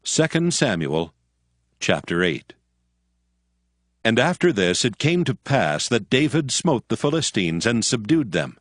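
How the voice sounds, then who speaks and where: A man's voice: mid-range voice, sing-song, measured pace, no echo, clean studio sound. A man narrating an audio Bible in a scripted reading style.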